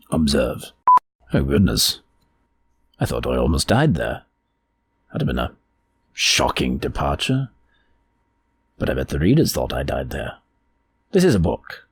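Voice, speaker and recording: A gravelly, wry royal advisor, acted by a man for an audio drama. The delivery is slow and deliberate, with lively swings in intonation. The musing is formal, droll and bemused. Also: a little hiss or hum, heavily acted. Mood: dry, musing. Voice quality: very deep, gravelly. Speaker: amateur voice actor. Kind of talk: theatrical in-character ad-libbing in audio drama outtakes.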